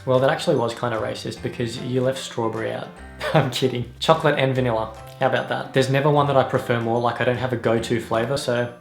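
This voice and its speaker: A man's voice: medium pitch, steady tone, fast, gravelly, echoey room, faint music behind. A young Australian man chatting upbeat and answering fan questions, who laughs often. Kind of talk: casual vlog Q&A.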